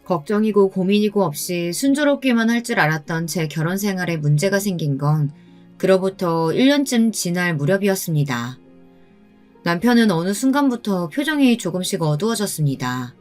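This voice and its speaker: A woman's voice: on the low side, animated, normal speed, a little mellow. Woman narrating a personal story over music. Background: background music.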